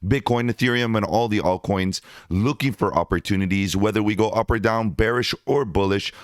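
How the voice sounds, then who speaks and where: Man, hoarse voice, low pitch, dry close sound, upbeat crypto commentary. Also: no room sound. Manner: upbeat salesy pitch, addresses viewers as guys. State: losing his voice, hoarse. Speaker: crypto channel host. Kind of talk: market analysis commentary over screen charts.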